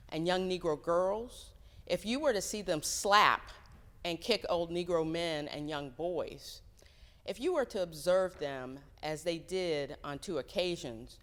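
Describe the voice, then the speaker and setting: Low, even-toned woman reading solemnly. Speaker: church member reading aloud. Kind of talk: solemn reading of quotes and a letter. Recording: long echoing reverb.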